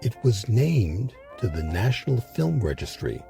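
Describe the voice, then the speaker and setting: A man hosting a history series, reading scripted documentary narration with measured, emphatic phrasing. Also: on the low side, very animated, vocal fry, dark tone, faint music behind.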